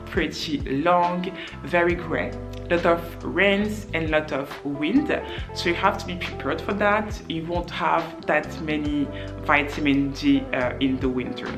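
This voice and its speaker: A young woman from France with a French accent, giving earnest advice in a casual vlog and leaning hard on "very". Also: low-pitched. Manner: earnest, repeats "very" for stress. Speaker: vlogger. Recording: music bed under the voice.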